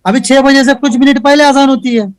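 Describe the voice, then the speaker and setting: A man with a high voice speaks bluntly and dares his opponents, hammering the same points. The sound is dry and close, with no room around it. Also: fairly even intonation, brisk, no room sound. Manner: blunt, challenging, repeats points. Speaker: advocate and political panelist. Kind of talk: TV debate argument.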